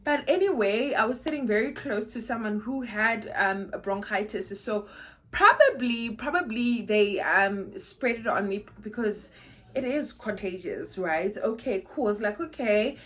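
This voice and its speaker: A woman's voice: medium pitch, animated, unhurried, a bit bright. A young South African woman, a native Xhosa speaker, chatting casually to camera in a vlog, repeating words and laughing easily. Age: young adult. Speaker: vlogger.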